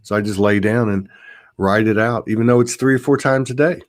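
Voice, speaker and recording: A man's voice: fairly low pitch, brisk. A man talking casually and reflectively about spiritual experiences, circling back and rephrasing as he goes.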